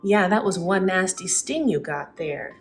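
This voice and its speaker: A woman's voice: on the low side, lively intonation, unhurried, soft background music. Woman reading as a matter-of-fact puzzled male doctor.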